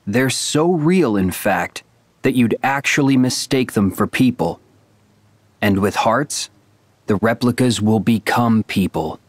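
A young man voicing a calm, earnest hero in a video game, measured and sincere. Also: medium pitch, long reverb tail. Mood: calm, explaining.

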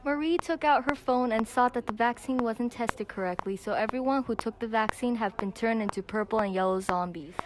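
A woman's voice: a little high, brisk, few pauses. A young teenage girl reading scripted narration for a school audio drama. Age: young teen. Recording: clicky amateur recording with background noise.

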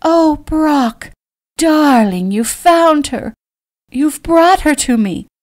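Woman voicing an effusive, high-pitched aristocratic mother. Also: huge pitch swings, a little slow, dry recording, full character voice. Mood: delighted. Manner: cooing, effusive, gushing. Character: elegant, doting, excitable aristocratic mother.

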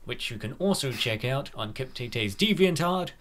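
A man's voice: mid-range voice, animated, moderate pace, fairly bright tone. A man co-hosting a comic dub with casual commentary.

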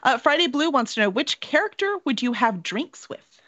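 A woman's voice: high-pitched, sing-song, moderate pace, a bit bright, no room sound. A woman chatting casually as the host of an interview on a video call. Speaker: podcast host. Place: indoor home room, on a video call. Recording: dry, slightly dull video-call audio.

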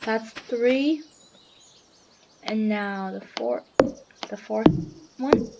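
A young woman with a slightly hoarse voice, chatting casually as she plays a farming game and reads its text aloud. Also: on the high side, very slow, heavy background noise. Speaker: gamer narrating a farming game. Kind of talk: casual let's-play commentary. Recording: game music loud behind voice.

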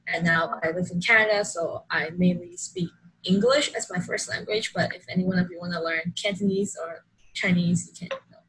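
A woman's voice: fairly low pitch, a little fast, a bit bright, slight background noise, low-quality recording. A young woman from Hong Kong introducing herself over a video call, chatty and upbeat, with many likes and ums. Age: young adult. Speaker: exchange student introducing herself.

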